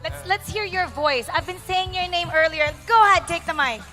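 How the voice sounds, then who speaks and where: A woman's voice: extremely high pitch, a little fast, bright, forceful tone, faint music behind. A woman interviewing esports players after a match, bubbly and playful.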